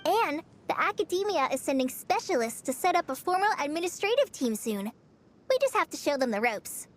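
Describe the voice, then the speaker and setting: A woman's voice: sits high, big pitch swings, brisk. A woman voicing an earnest young scout in a video game, polite, businesslike and reassuring. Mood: diligent, reassuring. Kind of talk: video game character dialogue. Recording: music under the voice.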